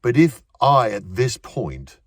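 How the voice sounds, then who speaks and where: A man voicing a self-important middle-aged male stage actor who talks in fussy, halting bursts. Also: mid-range voice, sing-song, slightly rough, no room sound, studio quality, pitched above the performer's own voice, heavily acted.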